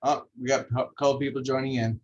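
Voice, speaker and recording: A man's voice: mid-range voice, quick pace, highs cut off. Chatty man leading a video training. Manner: relaxed, joking, chatty. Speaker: MLS trainer. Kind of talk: casual online training session. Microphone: video call.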